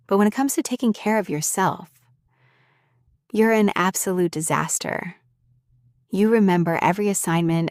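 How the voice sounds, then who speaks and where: A woman voicing a sharp-tongued young woman, a bully who scolds and worries over someone who has fainted. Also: on the low side, no echo, clean studio sound, close to an everyday voice. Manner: scolding and blunt, then anxious and tender. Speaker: voice actor in an audio roleplay. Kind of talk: scripted audio roleplay.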